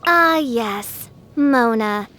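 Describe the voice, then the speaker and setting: High-voiced, pompous teenage princess. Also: female voice, expressive, extremely slow, bright, forceful tone, full character voice. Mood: haughty, mysterious. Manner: pompous, archaic, dramatic royal speech. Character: grandiose theatrical teenage girl, self-styled princess. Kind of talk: video game character voice lines.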